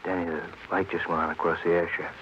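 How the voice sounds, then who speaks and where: An actor playing a puzzled, deferential police sidekick in a 1950s radio crime drama, with a hesitant way of talking. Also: male voice, low-pitched, flat delivery, fast talker, lightly acted. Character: plain, slightly slow, deferential male police sidekick. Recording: muffled old radio, faint noise.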